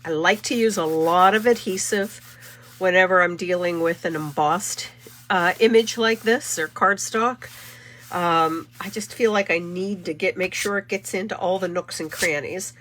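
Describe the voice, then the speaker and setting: A woman's voice: lowish voice, unhurried, fairly bright tone. A middle-aged woman hosting a casual live card-making demo, chatty and rambling, stuffy from a cold. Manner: chatty, rambling, self-correcting. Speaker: card-making channel host.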